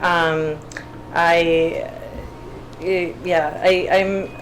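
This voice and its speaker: A woman's voice: fairly low pitch, little pitch movement, drawn-out words, low-quality recording. Hesitant Puerto Rican woman on a panel. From Puerto Rico. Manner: hesitant, many restarts and fillers. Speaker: panel speaker, artist. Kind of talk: spoken panel talk. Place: event room. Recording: faint background noise.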